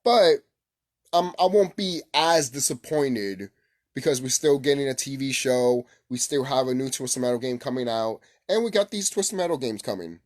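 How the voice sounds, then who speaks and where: A man hosting a casual, unscripted gaming vlog, rambling excitably, repeating himself and swearing casually. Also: mid-range voice, normal speed. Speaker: gaming video host.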